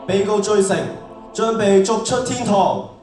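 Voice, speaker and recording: A man's voice: high-pitched, fairly even intonation, a little slow, darkish tone. A man playing a stern, officious judge in heaven's court in a radio drama. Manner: officious, authoritative courtroom delivery. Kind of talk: stage radio drama acting. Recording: echoey hall, distant mic, music.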